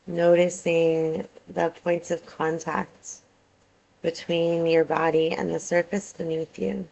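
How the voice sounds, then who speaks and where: A woman teaching yoga nidra, guiding a meditation in a calm, soothing way with measured phrases and pauses. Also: lowish voice, gravelly, rough recording. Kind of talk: guided meditation, soothing instruction.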